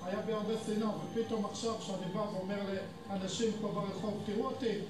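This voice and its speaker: An earnest man sharing a testimony at a fast clip. His voice sits high. He sounds distant, in a very echoey space. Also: flat delivery. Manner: earnest, rushed. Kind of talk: personal testimony. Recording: echoey video audio from a distance.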